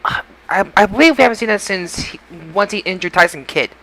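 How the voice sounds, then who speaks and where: Bright, high-pitched man hosting a podcast. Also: animated, fairly quick. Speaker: podcast host. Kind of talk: casual wrestling podcast discussion.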